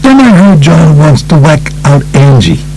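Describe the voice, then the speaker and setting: A blunt male mobster from New York, acted out by a male audiobook reader, talks in punchy, emphatic bursts. His pitch jumps around with lively swings, and he sounds like a streetwise man laying out his life. Faint music can sit behind the words. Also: a little slow, rough recording. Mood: indignant, blunt. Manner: profane, emphatic, punchy short phrases.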